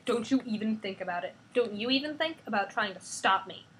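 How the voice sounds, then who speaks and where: A woman's voice: medium pitch, lively intonation, a little fast, fairly bright tone, slight background noise, lightly acted. A woman voicing a stern, protective male team leader who lectures in firm tones.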